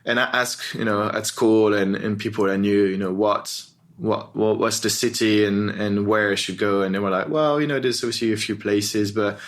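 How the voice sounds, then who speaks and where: A man's voice: fairly low pitch, flat delivery, moderate pace. A man in his thirties with a French accent, a native French speaker, chatting casually as a guest and telling self-deprecating stories. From France. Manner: chuckles as he talks, self-deprecating. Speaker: guest, creative director. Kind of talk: casual conversational storytelling.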